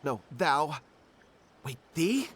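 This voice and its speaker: A man's voice: a little high, sing-song, extremely slow, a bit bright, a little hiss or hum, full character voice. A man voicing an earnest, jittery young writer in a video game, flustered, hesitant and pleading. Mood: uncertain. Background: music.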